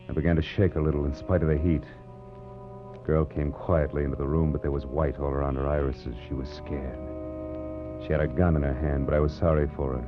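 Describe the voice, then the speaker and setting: Very deep-voiced hardboiled American private eye. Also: male voice. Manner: clipped, hardboiled, wry. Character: tough wisecracking private detective, sardonic and cool under threat. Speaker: lead actor and narrator. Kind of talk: 1940s radio detective acting and narration. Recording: muffled vintage 1940s radio recording, music underneath.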